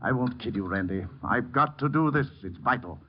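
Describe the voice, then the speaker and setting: A man's voice: medium pitch, lively intonation, moderate pace, natural voice. Guy acting a washed up theatrical man, grand sad speeches, old radio, muffled with some hiss. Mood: ashamed, desperate. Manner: florid, declamatory, mournful. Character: broken, bitter, grandiloquent theatrical man fallen on hard times. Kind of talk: 1940s-50s radio drama acting. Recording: dull, faintly noisy old radio recording.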